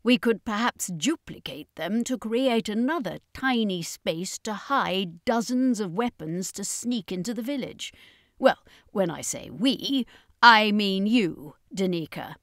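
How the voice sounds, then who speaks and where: A woman voices a male circus master in a fantasy audiobook. He is resolute and commanding, with a mid-pitched delivery and a dry sound. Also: lively intonation, a little slow, a bit bright, no echo. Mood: inspired.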